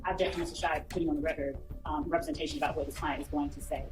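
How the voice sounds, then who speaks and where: Female trial lawyer, low register, quick, formal objections, faint music behind. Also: fairly even intonation, roomy sound. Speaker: prosecutor.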